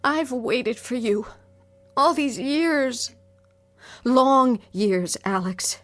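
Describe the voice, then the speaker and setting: An intense, high-voiced desperate fiancée. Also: female voice, lively intonation, dry recording, faint music behind. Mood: wounded, insistent. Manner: intense, dramatic pleading with drawn-out phrases. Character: determined, frightened long-waiting fiancée, pleading then defiant. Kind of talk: radio drama acting.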